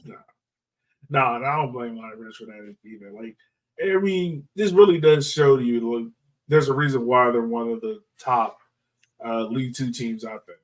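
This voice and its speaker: A man hosting a casual soccer podcast, talking in a halting, hesitant way. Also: mid-range voice, far from the mic, rough recording. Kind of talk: casual sports chat.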